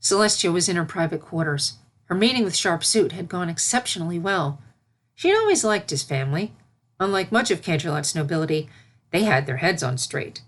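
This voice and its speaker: A woman's voice: fairly low pitch, very animated, brisk. A woman narrating a scripted story as its reader.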